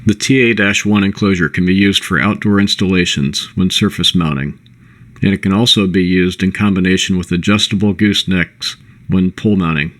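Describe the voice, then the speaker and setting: A man reading a scripted corporate product presentation in a measured, instructional way. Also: sits low, fairly bright tone. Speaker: presenter of a company product overview.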